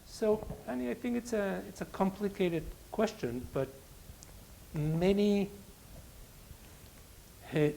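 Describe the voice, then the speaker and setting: A man professor speaking in a public academic conversation, reflective, pausing with restarts and fillers. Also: fairly high pitch, gravelly, a little mellow, slight background noise, rough recording. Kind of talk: academic panel talk.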